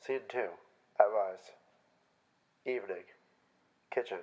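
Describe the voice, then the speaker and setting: A man's voice: lowish voice, slow pace, a little mellow, low-quality recording. A man reading stage directions aloud as scripted narration at a staged play reading.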